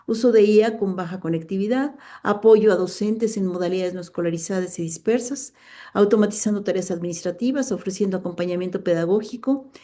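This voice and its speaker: Mexican woman moderator, warm dark tone, reads audience comments, hesitant fillers. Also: medium pitch. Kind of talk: moderating an online book launch.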